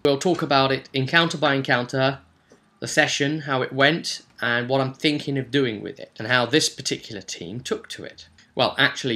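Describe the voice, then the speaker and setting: A man's voice: medium pitch, sharp tone. A man hosting a casual vlog as a game master, talking informally and with enthusiasm about tabletop role-playing.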